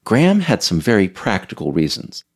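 A man's voice: on the low side, wildly swooping pitch, moderate pace, a little mellow. A man reading scripted narration as the author of an audiobook.

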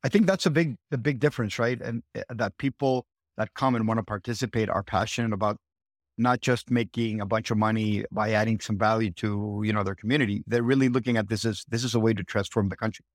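A man's voice: lowish voice, fairly even intonation, fast, no room sound. Middle-aged guy from guatemala w/ an american accent, earnest, keeps restarting, dry close sound. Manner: earnest, repeats words as he restarts sentences. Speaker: guest, startup city co-founder. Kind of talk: podcast interview.

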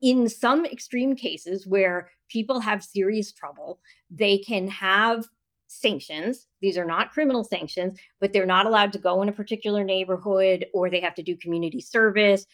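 A woman's voice: medium pitch, moderate pace. A woman interview guest debating and explaining her position in an insistent, argumentative manner. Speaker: guest, author and journalist.

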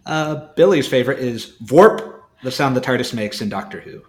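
Male podcast co-host, average pitch, relaxed informal conversational style. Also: moderate pace. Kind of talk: casual group podcast chat.

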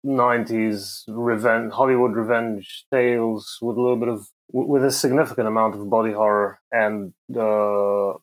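A man's voice: on the low side, flat delivery, moderate pace, few pauses, rough recording. A man chatting casually as a podcast guest, giving his review in a conversational way.

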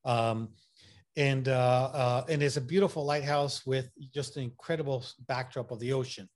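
A man's voice: mid-range voice, fairly even intonation, normal speed, darkish tone. A man from Puerto Rico with a mixed Puerto Rican and Massachusetts accent, talking casually as an interview guest, enthusiastic and full of fillers and restarts. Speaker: photographer guest.

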